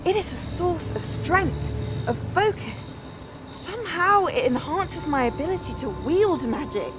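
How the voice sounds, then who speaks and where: Game cutscene witch: high-pitched, fervent, persuasive, hungry for power, over clear music. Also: sing-song, unhurried, full character voice. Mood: fervent persuasion. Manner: persuasive, self-assured, intense. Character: ambitious, fervent witch obsessed with forbidden power. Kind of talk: dramatic game cutscene acting.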